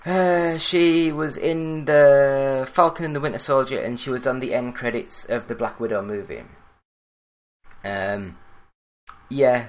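Podcast host from Yorkshire, English male, average pitch, plain monotone read. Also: measured pace. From Yorkshire, England. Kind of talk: solo gaming news podcast.

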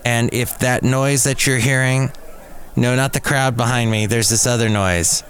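A man with a mid-pitched voice talks as a podcast host, going through entertainment news with wry, opinionated commentary. Background noise and ambience sit behind his voice. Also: steady tone, measured pace, a bit bright.

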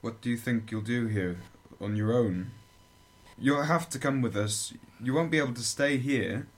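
A man's voice: mid-range voice, normal speed, close to an everyday voice. A man acting in a radio drama as a young soldier, an anxious and loyal friend who keeps questioning. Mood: concerned. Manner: worried, questioning. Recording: loud background noise and ambience.

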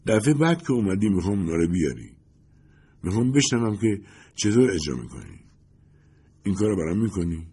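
A man's voice: lowish voice, very animated, long pauses, warm tone, husky, exaggerated voice. A man voicing a talkative, glamorous woman who gushes and wants to be liked. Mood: eager. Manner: gushing, eager to please.

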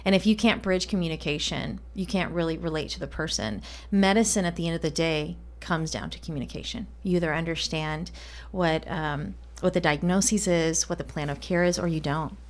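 A woman answering as an interview guest, reflective and thoughtful, feeling out her phrasing as she goes. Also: on the low side. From Colombia. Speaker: interview guest, healthcare department leader.

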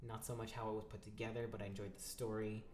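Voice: medium pitch, level tone, fast, darkish tone. A podcast co-host chatting casually and rambling through a film review in a giggly, informal way. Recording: echoey room with faint background noise.

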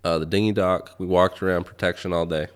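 Casting note: deep, very low-pitched man, easygoing podcast co-host, quick to laugh. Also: fairly even intonation, clear, resonant tone. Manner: laid-back, laughs often, joking. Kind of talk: casual chat. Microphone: podcast studio mic.

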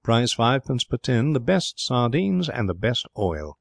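A man's voice: mid-range voice, sing-song, vocal fry, no room sound, pitched above the performer's own voice, exaggerated voice. A man acting out a big, earnest young suitor in a storybook reading. He is devoted and sincere, an outdoor type. The recording is dry and close. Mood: earnest, eloquent. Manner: halting at first, eloquent reading aloud.

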